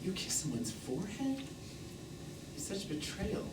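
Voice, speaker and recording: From a distance in an echoing theatre, an actor plays a jealous, defensive man. His speech is terse and blunt, with volatile feeling and widely swinging pitch. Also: wildly swooping pitch, unhurried, full character voice. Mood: jealous, hurt. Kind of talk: naturalistic stage drama dialogue. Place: theatre stage, recorded from the audience distance. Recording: echoey, distant, noisy live theatre recording.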